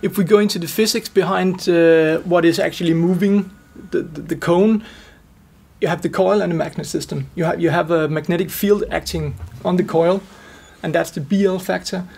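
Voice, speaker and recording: A man in his forties giving measured technical explanations as an expert in an interview. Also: sits high, fairly even intonation, creaky, a little mellow, husky. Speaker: expert guest, loudspeaker driver engineer.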